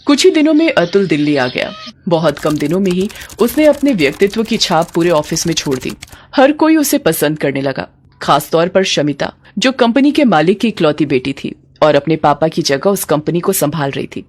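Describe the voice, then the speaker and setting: A woman's voice: on the low side, very animated, moderate pace, a little mellow, a little hiss or hum. A woman narrating a scripted story as its storyteller.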